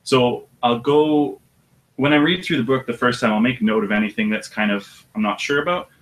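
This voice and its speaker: An audiobook narrator with a deep voice, talking about his work in a relaxed, thoughtful way with some hesitation. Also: moderate pace. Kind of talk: casual interview chat.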